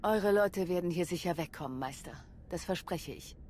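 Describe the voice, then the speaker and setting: Woman doing young army commander for a game, composed, bit low voice, earnest, noise behind. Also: moderate pace, darkish tone, lightly acted. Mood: resolute. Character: composed, resolute young female military commander. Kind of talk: video game cutscene acting.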